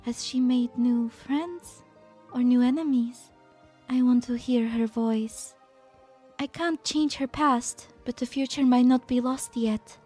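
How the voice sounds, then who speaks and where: A woman playing a gentle, idealistic female scientist in a dramatic fan dub. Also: on the high side, fairly even intonation, takes it slowly, soft background music. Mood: gentle, wistful.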